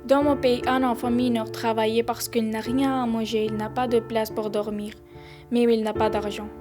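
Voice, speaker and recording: A woman's voice: on the high side, flat delivery, moderate pace, over music. A young female non-native speaker, a student, narrating a prepared story. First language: not French. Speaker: newly arrived student. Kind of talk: scripted personal narration.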